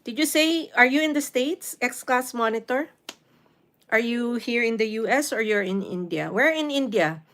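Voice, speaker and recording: A woman's voice: a little high, animated, moderate pace, fairly bright tone. Filipino woman in her late fifties, livestream host. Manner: switches between English and Tagalog. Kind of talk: casual livestream chat, reading and answering viewer comments aloud.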